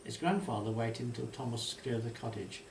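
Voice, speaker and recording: A man's voice: medium pitch, brisk. A man reading a children's story aloud in a narrator's storytelling style. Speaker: author reading own story. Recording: distant mic, roomy echo, faint hiss.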